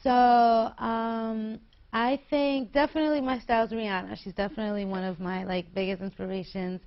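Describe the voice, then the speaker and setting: A woman's voice: a little high, fairly even intonation, moderate pace, dull audio. A woman in her twenties, a singer being interviewed, chatting in a bubbly way and laughing often. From New York. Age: 20s. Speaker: guest singer. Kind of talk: casual interview.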